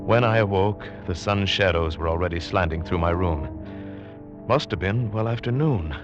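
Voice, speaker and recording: An actor playing a grim hunted man who tells his own suspense story, tense and deliberate, in 1940s radio style. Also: low-pitched, muffled recording, natural voice. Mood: grim, haunted. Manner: tense, deliberate dramatic delivery. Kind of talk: 1940s radio acting, first-person narration. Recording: vintage radio drama with music behind.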